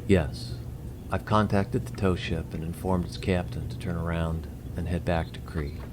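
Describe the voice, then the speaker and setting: A man playing a courteous alien visitor in an audio drama, speaking formally with measured, apologetic regret. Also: low-pitched, fairly even intonation, lightly acted. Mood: regretful. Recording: noisy background ambience.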